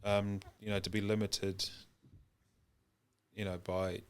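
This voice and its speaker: Deep, flat-voiced man with a Welsh-Kiwi accent. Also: slow, smooth. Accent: mixed Welsh and New Zealand. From Wales. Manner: hesitant, many ums and restarts. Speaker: guest, professional rugby player. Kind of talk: podcast interview.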